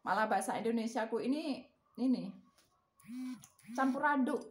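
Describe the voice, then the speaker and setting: A woman's voice: a little high, normal speed, reverberant. A woman with a Javanese accent, chatting casually to her viewers in a rambling way and laughing often. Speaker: vlogger.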